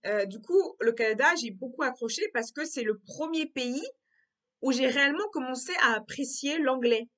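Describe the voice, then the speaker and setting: A woman's voice: sits high, normal speed, fairly bright tone. A young woman vlogging in a casual, reflective monologue, often repeating herself and circling back to her words.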